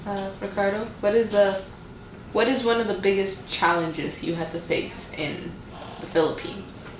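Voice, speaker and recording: A woman's voice: medium pitch, fairly even intonation, a little slow. A woman interviewer asking prepared questions in an interview. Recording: roomy, distant mic, clear background noise.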